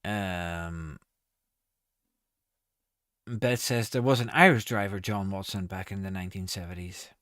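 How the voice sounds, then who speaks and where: A man's voice: sits low, measured pace, long pauses, fairly bright tone, no echo, clean studio sound. A man hosting a casual live stream, reading viewer comments aloud and answering with many ums and restarts.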